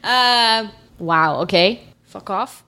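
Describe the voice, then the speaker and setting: A woman co-hosting a podcast in casual chat, animated, laughing often and swearing freely. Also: medium pitch, slow pace. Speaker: podcast co-host.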